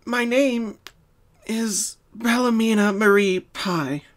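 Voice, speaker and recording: A woman voicing a sorrowful young female cartoon pony in a fan story, speaking softly and solemnly with hesitations. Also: a little high, pitched above the performer's own voice. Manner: soft, solemn, halting. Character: sad young female pony confessing her hidden identity.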